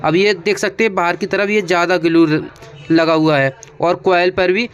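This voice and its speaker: A man's voice: high-pitched, fairly even intonation, moderate pace, highs cut off. A man with an Indian accent narrates a hands-on repair tutorial as a technician, explaining step by step in a conversational way and often repeating his instructions. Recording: clearly noisy background.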